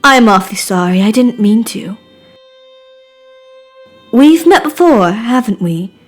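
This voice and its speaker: A woman voicing an obsessive, lovesick madman, theatrical and crooning, with breathy whispers, in a game character reel. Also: fairly high pitch, animated, measured pace, soft background music. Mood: yearning, seductive. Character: obsessive lovesick madman, tender then menacing suitor.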